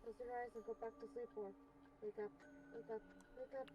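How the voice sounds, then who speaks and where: A woman giving casual, excitable commentary as she streams a game and reacts to it. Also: on the high side, fairly quick, music in the background. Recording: noisy game stream audio.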